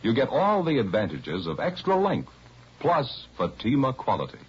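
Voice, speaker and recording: A man's voice: medium pitch, wildly swooping pitch, moderate pace. An American man reading a 1950s radio advertisement with a polished, emphatic sales delivery. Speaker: commercial announcer. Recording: muffled vintage radio, faint noise.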